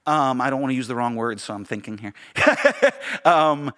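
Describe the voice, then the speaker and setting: A man's voice: mid-range voice, animated, normal speed, fairly bright tone. An American man co-hosts a casual podcast in a mid-range voice. His intonation swings widely and expressively. He often hesitates, circling back over phrases as he hunts for the right word. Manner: hesitant, searching for words, repeats phrases. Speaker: podcast co-host, director.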